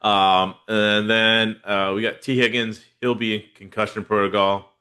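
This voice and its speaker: A man's voice: on the low side, level tone, a little slow, sharp tone, clean tone. A man with a head cold, hosting a casual football podcast in a laid-back, rambling way with lots of fillers. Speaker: podcast host. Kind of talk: casual fantasy football chat.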